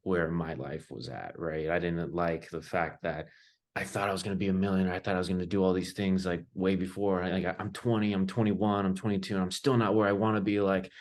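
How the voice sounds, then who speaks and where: A young man with a low-pitched voice. He talks as an interview guest, telling stories in a loose, informal, conversational way. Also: fairly even intonation, brisk. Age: young adult. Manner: informal, loose phrasing peppered with "like" and "you know". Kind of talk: casual conversational storytelling.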